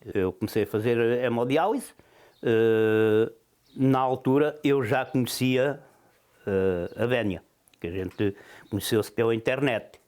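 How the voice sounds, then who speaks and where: A man's voice: medium pitch, unhurried, darkish tone. A man in his sixties from Portugal with a European Portuguese accent, hesitantly recounting his life in an interview. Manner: hesitant, with many fillers. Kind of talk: personal account in an interview.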